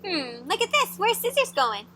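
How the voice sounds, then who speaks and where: A young woman reading a picture book aloud to children in a lively way, gasping and asking her listeners questions. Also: very high-pitched. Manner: expressive, asks children questions, gasps and dramatic pauses. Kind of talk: animated children's read-aloud.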